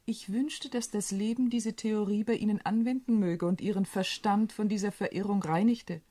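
An actress playing a teasing, frank young widowed sister in a radio drama, playful and chatty with a husky voice. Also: female voice, medium pitch, steady tone, moderate pace, mellow, lightly acted. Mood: cheerful, frank. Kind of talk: German radio drama acting.

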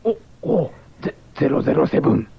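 An actor playing an elderly scientist, gruff and anxious, with a creaky voice and halting old-man speech, in a 1970s radio drama. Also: medium pitch, very animated. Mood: urgent. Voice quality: creaky, gravelly. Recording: noisy, dull 1979 radio broadcast tape.

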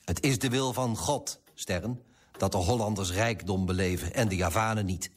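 An actor playing a pompous, self-righteous middle-aged merchant in a radio play, husky and indignant. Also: male voice, on the low side, moderate pace, natural voice. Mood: scolding, preachy.

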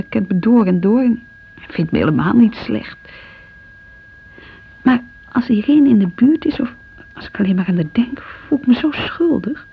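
Guilty anxious dutch wife in a radio play, hushed n hesitant, gravelly fry, muffled recording. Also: female voice, mid-range voice. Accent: Netherlands Dutch. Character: guilt-ridden married woman, younger sister, anxious and brooding. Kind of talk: radio drama inner monologue. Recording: dull, faintly noisy radio recording.

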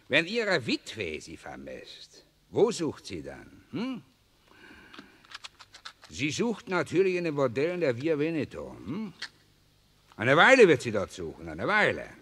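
Casting: grausamer, spöttischer SS-Offizier, heller rauer Ton, sehr bewegte Stimme, Hörspiel der 60er mit Nachhall. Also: male voice, mid-range voice, huge pitch swings, slow, long pauses, a bit bright, slightly rough, exaggerated voice. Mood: mocking. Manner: sneering, taunting, barking orders. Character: sadistic mocking male SS officer. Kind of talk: 1960s German radio play acting. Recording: reverberant old mono radio play.

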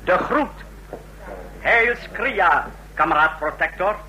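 An actor in an old radio play, playing a stiff, self-important secret police chief with a formal military manner. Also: male voice, high-pitched, lively intonation, slow pace, pushed and bright, a little hiss or hum, heavily acted, low-quality recording. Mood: haughty. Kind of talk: mid-century radio play acting. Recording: old radio drama recording.

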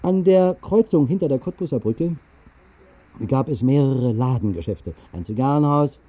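A man plays a wry vagrant poet narrating a radio play. His medium-pitched delivery is dry and measured. The old recording sounds thin, like a phone line. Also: animated, natural voice. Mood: wry narration. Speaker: first-person narrator. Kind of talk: 1960s literary radio drama narration.

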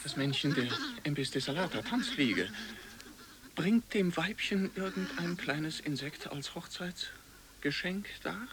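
A man playing a naturalist lecturer in avant-garde radio, reciting in deliberate, chopped phrases. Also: a little high, animated. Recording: crowd-like din behind voice.

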